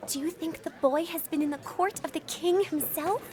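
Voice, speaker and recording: A woman playing a kind, shy young peasant goat girl with a gentle, polite manner in an audio drama. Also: extremely high pitch, moderate pace, some chatter behind. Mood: curious. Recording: music under dialogue.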